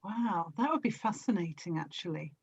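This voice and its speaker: A woman's voice: fairly low pitch, animated, normal speed, gravelly, breathy edge, dry recording, lo-fi sound. A middle-aged woman, chatting casually as an interview guest on a podcast. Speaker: podcast guest, audio drama actor and producer.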